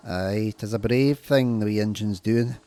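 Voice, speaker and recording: A man playing a gruff, blunt railway engine in a fan comic dub. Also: on the low side, measured pace, dark tone, lightly acted. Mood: admiring.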